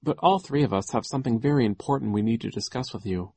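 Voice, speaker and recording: A man's voice: mid-range voice, quick pace, gravelly, dark tone, husky, no room sound. A man voicing an earnest, polite teenage orphan girl who speaks up insistently in an audiobook story.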